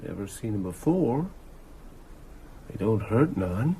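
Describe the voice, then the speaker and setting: A man's voice: on the low side, very animated, slow, dark tone, breathy edge, full character voice. An actor playing a drowsy, sickly, easygoing working man in an audio drama, with a folksy, unconcerned drawl. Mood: weak, unconcerned.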